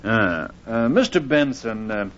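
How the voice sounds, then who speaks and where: Muffled, measured radio police inspector. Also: male voice, lowish voice, lively intonation, a bit bright. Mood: calm, probing. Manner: measured, hesitant "uh" while questioning. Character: calm, methodical police inspector, male. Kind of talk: old-time radio mystery acting. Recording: muffled old radio recording.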